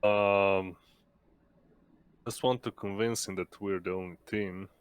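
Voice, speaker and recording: A man with a low voice speaks very slowly as a player at a tabletop game. He is casual and hesitant, feeling his way through what he wants to do. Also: steady tone, clean tone, no room sound.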